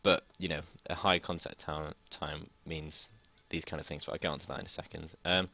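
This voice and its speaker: A young man from the United Kingdom explaining a physics lesson in a casual way, his voice slightly croaky from long talking, often hesitating and restarting sentences. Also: rumbling low, little pitch movement, fairly quick, pushed and bright. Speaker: student tutor.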